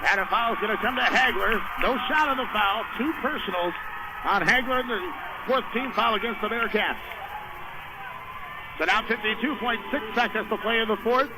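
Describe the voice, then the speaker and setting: A man's voice: sits high, moderate pace, background noise. A man doing live radio play-by-play of a basketball game in short, running descriptions of the action. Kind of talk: live radio sports commentary. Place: indoor high school basketball game.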